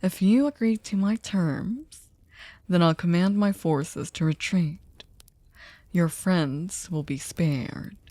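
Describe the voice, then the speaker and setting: A woman performs a possessive, manipulative female vampire for an ASMR roleplay. Her voice is mellow and fairly low, unhurried and close, with a dry sound. She teases with silky courtesy and often sinks into a whisper. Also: dry recording. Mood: coaxing, seductive. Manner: slow, teasing, mock-polite, often dropping to a whisper. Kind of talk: ASMR roleplay audio drama.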